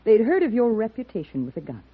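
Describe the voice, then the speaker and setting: An actress playing a bitter, worldly woman in a 1950s radio western, husky and sardonic with a dramatic delivery. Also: a little high, wildly swooping pitch, quick pace, full character voice. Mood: bitter, confiding. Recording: slightly muffled vintage radio recording.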